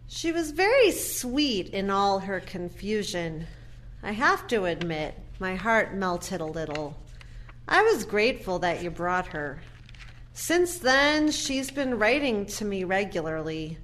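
A woman acting in an audio play as a guarded, resentful adult daughter, measured and pointed with her brother. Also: mid-range voice, big pitch swings, fairly bright tone, slight background noise. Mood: softened, wistful.

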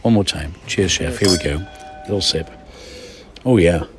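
A man's voice: low voice, normal speed, over music. A man hosting a radio food interview, effusive, chatty and theatrical. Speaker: radio food show host.

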